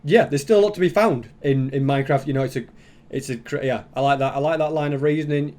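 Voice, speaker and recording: A man's voice: on the high side, fast talker, a little mellow. A man giving casual reaction commentary as a YouTube host, rambling and thinking aloud as he goes.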